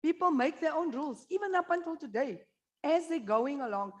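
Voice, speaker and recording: A woman's voice: high-pitched, lively intonation, moderate pace. Blunt South African woman presenting. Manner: blunt, humorous, addresses the audience directly. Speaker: conference speaker. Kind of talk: candid conference presentation. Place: conference room.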